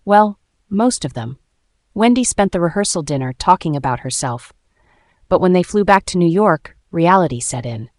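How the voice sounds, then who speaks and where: A woman's voice: fairly low pitch, animated, normal speed, a little mellow, no room sound. A woman reading a scripted first-person story as its dramatic narrator.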